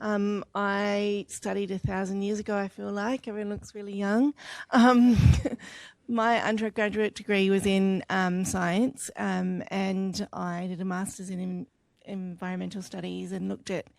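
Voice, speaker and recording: Aussie woman in her 50s, bit of a gravelly voice, chatty intro with lots of ums, dry close sound. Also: mid-range voice, little pitch movement, a little slow, no echo. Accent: Australian. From Adelaide, Australia. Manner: chatty, self-deprecating, many ums. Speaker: student introducing herself. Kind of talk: self-introduction at a welcome session.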